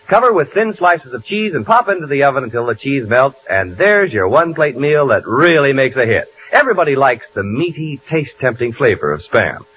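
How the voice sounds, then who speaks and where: A man's voice: medium pitch, sing-song, a little fast, hardly any pauses, a bit bright, slight background noise. A man announcing and playing comedy on 1940s radio in a bouncy, salesman-like manner. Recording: muffled 1940s radio broadcast.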